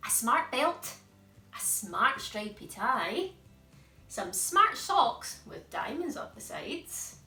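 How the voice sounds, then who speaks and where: Slow, animated woman reading a children's story. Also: a little high. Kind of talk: animated picture-book narration for young children. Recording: echoey room, distant mic.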